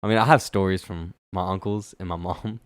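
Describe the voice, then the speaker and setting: Deep-voiced young man, laid-back and slangy. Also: steady tone, brisk, no room sound. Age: young adult. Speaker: podcast co-host. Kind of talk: casual chat.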